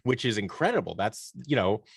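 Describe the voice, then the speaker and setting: A man with a lowish voice speaks in a casual interview style. The sound is dry, with little room in it. He explains things in an animated, conversational manner, as a guest would. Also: a little fast, vocal fry, slightly rough, dry recording. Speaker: podcast guest, audio drama producer and performer.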